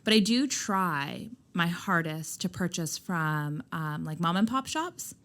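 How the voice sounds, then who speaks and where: A woman who founded a skincare brand, talking loud and emphatic as a guest in a casual interview. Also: on the low side, expressive, slow pace. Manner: loud, emphatic, laughs easily. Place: Nova Scotia, Canada.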